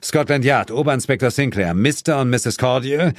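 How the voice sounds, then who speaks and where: Man acting a gruff, brash police inspector. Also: medium pitch, moderate pace, no room sound, studio quality, pitched above the performer's own voice, natural voice. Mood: barking, impatient. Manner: barking, curt commands.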